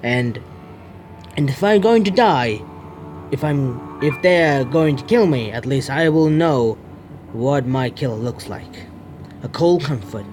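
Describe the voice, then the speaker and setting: A man hosting a gaming video, reading game text aloud and commenting on it in a jittery, excitable way. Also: mid-range voice, takes it slowly. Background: game music.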